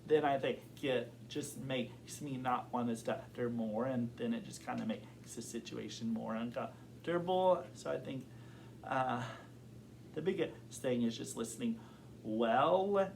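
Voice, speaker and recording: A young man who stutters talks slowly and carefully, repeating words and leaning on fillers as he works through his thoughts. Faint background hiss sits under his voice. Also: mid-range voice, creaky, darkish tone. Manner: thoughtful, open. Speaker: interviewee. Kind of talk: casual interview.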